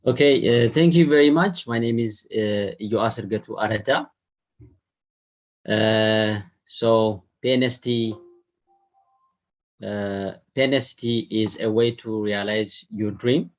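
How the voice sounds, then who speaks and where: A man from Ethiopia, in his thirties, walks through his slides on a video call. His voice sits fairly low. He stops often to fill gaps with a hesitant "uh". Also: slow, long pauses. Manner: hesitant, many "uh" fillers. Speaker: webinar presenter. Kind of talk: slide presentation.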